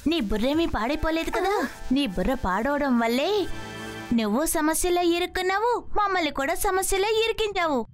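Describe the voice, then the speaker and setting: Sensible cartoon girl, really high voice, sharp tongue, loud music behind. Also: fairly quick. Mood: scolding. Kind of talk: dubbed children's cartoon acting.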